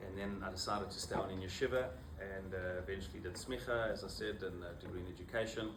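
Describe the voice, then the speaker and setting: A man in his fifties with a South African accent, a rabbi giving an informal talk to a small group, low on energy and halting, with many fillers and restarts. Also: fairly even intonation. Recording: roomy, distant mic, background noise.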